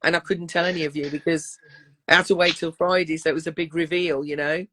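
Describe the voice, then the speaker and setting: A woman's voice: sits low, fairly even intonation, fast talker, bright, forceful tone. A woman hosting a casual interview, affectionate and calling her guest darling. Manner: affectionate, calls people darling and love. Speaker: interview host.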